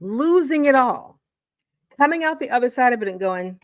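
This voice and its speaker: A woman's voice: on the high side, animated, moderate pace, no room sound, clean studio sound. A woman educator in her forties or fifties giving emphatic, motivational video commentary, repeating phrases for effect. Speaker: educator and channel host.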